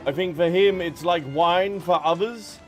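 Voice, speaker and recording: A man's voice: high voice, fairly even intonation, a little slow. A man giving casual, chatty livestream commentary over a video game, with jokey asides. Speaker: game streamer. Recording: game music behind voice.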